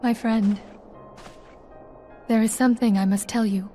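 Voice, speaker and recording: A woman as a noble lady leader, high voice, composed, earnest, formal game drama delivery, soft music behind. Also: steady tone, measured pace, natural voice. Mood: grave. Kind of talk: scripted video game drama acting.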